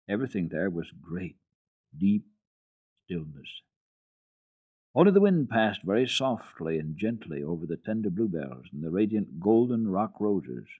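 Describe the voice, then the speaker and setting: A man's voice: sits low, slightly rough, narrow, tinny audio. A man giving a dramatic storybook reading of a children's classic as a radio storyteller.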